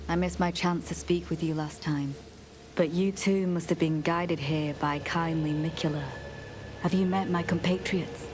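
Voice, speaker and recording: A woman playing a courteous but coldly zealous knight in a fantasy game, speaking in formal, measured, archaic lines. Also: sits low. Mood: courteous, welcoming. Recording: echoey game audio under music.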